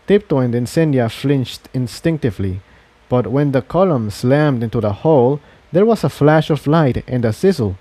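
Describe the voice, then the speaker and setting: Guy reading an adventure story out loud, soft mellow tone, really animated pitch. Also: no echo. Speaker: fan fiction reader. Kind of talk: scripted narration of an adventure story.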